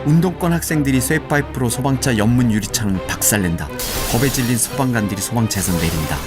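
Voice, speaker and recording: A man narrating a radio drama in an even, descriptive storytelling manner, with music underneath. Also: mid-range voice, quick pace.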